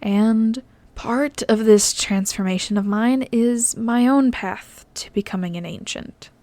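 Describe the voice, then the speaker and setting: A woman voicing an earnest young half-ghost man who explains himself slowly and gently in a storybook reading. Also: on the high side, no room sound. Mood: earnest, explanatory.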